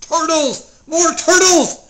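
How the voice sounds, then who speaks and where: A storybook reader voicing a boastful, tyrannical male turtle king, pompous and given to grand shouted commands. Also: very high voice, slow pace, slight background noise, pitched above the performer's own voice, exaggerated voice, poor audio. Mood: furious, commanding.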